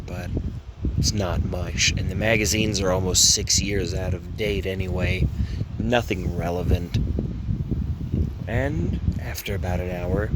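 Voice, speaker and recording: A man narrating an amateur horror story in a measured storytelling manner, with slight stumbles. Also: sits low, very noisy. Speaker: narrator and voice actor of a fan horror dub.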